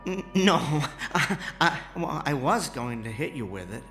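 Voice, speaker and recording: Eager tinkering engineer, higher voice, swooping intonation, stammering, folksy, radio play, music behind. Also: male voice, animated, measured pace, vocal fry, fairly bright tone, full character voice. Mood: flustered. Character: excitable, kindly amateur inventor, male former teleportation engineer. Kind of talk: radio drama acting.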